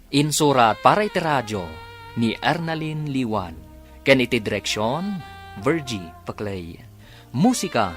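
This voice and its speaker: An Ilocano man gives a slow, formal radio introduction. His pitch rises and falls widely, and faint music plays under him. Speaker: radio drama announcer.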